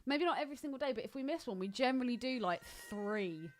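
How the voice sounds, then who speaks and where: A woman's voice: on the high side, expressive, fast. A woman co-hosting a podcast, chatting casually in a blunt, teasing way. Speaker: podcast co-host. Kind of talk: casual bantering chat.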